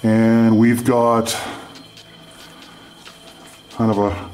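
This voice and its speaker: A man from Canada giving casual, halting running commentary as he explores underground and describes what he sees. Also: fairly low pitch, flat delivery, darkish tone, faint music behind. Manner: halting, unscripted descriptions of surroundings. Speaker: mine explorer and video host. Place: underground in an abandoned mine tunnel.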